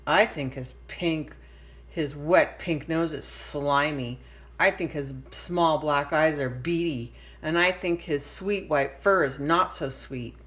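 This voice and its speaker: A woman reads as a young girl mouse in a children's storybook. She is the snide cousin, disdainful and deliberate in how she passes judgment. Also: deep voice, a bit bright. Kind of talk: read-aloud storybook.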